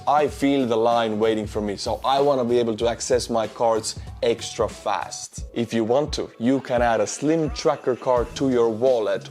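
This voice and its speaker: A male online host with an Estonian accent and a medium-pitched voice. He talks informally, as in casual news commentary, addressing the listener conversationally. His delivery carries a wry edge. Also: a little mellow. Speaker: YouTube war-news host.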